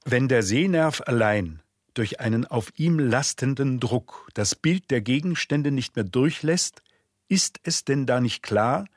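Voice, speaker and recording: Man, formal literary reader, rhetorical delivery, husky tone, dry studio recording. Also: medium pitch, expressive, a little slow, no room sound.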